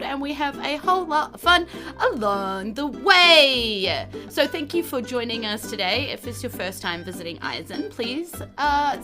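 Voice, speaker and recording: A woman with a New Zealand accent hosting a podcast as dungeon master in a lively, casual style. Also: a little high. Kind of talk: lively casual podcast intro and game recap.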